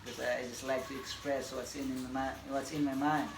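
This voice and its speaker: A Filipino man in his forties, a tennis coach whose first language is Bisaya, introducing himself in a halting, casual way with many fillers and laughs. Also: medium pitch, little pitch movement, gravelly. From Philippines. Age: 40s. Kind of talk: casual self-introduction to camera. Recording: echoey room, audible background noise.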